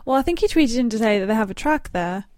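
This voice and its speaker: A woman's voice: a little high, lively intonation, fast talker, a little mellow, no echo, studio quality, lightly acted. A woman putting on a British accent while co-hosting a podcast and reading listener messages in a composed manner. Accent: put-on British.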